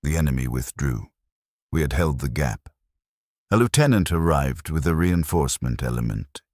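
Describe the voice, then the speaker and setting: A man narrating a scripted first-person war story in short, clipped sentences with a grave, measured delivery. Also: extremely low pitch, expressive, darkish tone, no echo, studio quality.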